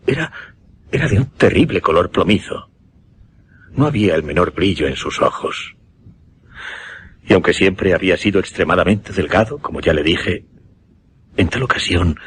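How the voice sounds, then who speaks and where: Radio drama grave male hypnotist, low voice with lively swings, Castilian accent, deliberate haunted delivery. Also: a little hiss or hum. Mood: haunted, horrified. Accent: Castilian Spanish. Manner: deliberate, dramatic, hesitating and repeating words for effect. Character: grave male hypnotist recounting a dying patient's horror. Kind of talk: Spanish radio drama suspense narration.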